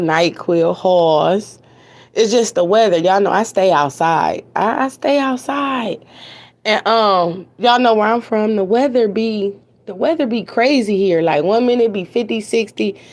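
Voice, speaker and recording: A woman hosts her show from home, her voice hoarse and raspy from a cold and a stuffy nose. She talks loosely and warmly to her audience, repeating phrases as she goes. Her pitch sits in an ordinary middle range for a woman. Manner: chatty, repeats phrases, talks straight to viewers. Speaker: host of an online news talk show. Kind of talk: casual livestream chat. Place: home with TVs on in the background.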